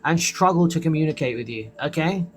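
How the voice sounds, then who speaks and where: A man's voice: a little high, animated. A man hosting a livestream with callers, scolding the chat and defending himself. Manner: scolding, defensive, quick to complain. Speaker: livestream host.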